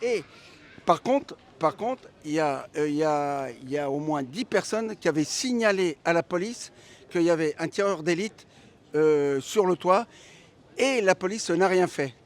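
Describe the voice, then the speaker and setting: A man giving a spontaneous opinion in an interview, halting and repeating his phrases as he goes. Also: fairly high pitch, lively intonation. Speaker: passer-by being interviewed.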